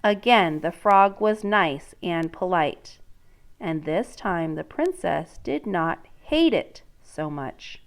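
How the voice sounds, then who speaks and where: Woman teacher reading a story, very lively pitch. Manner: expressive, deliberate, pausing to question young listeners. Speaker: teacher reading aloud to a class. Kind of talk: read-aloud storytelling for children, with prompts to join in.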